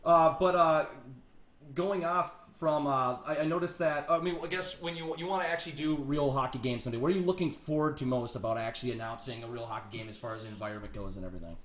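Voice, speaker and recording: A man's voice: mid-range voice, fast, a bit bright. Male co-host in an echoey room. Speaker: co-host interviewing a guest. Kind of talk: casual chat.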